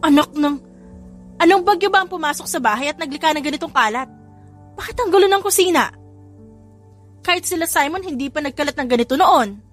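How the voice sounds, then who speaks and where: A woman voicing an irritable but soft-hearted young mother in a romance audio drama, nagging and exasperated. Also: high voice, animated, brisk, pauses often, soft background music, voice pushed up.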